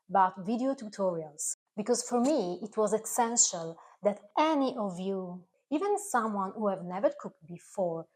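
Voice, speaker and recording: A woman's voice: medium pitch, darkish tone. Earnest Italian woman speaking English. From Italy. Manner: earnest, careful, slightly halting non-native phrasing. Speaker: food channel creator. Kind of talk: speaking directly to camera.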